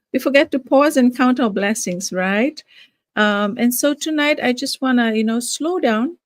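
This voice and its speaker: Older Fijian-born woman, halting live chat. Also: mid-range voice, no echo. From Fiji. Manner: warm, halting, many fillers and restarts. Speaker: live-stream host, nurse and business owner. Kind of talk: casual live-stream talk.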